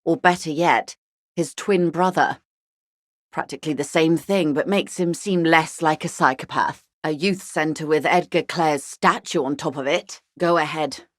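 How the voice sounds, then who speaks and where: Posh middle-aged lady in a video game, deep for a woman, dry studio sound, wry and world-weary, refined and measured. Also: no room sound. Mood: sardonic. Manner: measured, refined, dryly ironic. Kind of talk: scripted game dialogue voice acting.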